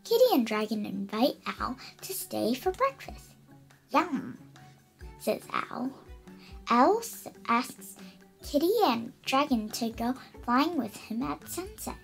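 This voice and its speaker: Young girl reading aloud, animated and high. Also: measured pace, vocal fry, soft background music. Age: child, about eight. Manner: expressive, careful reading with stumbles over words. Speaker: young storybook reader. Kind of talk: read-aloud children's picture book.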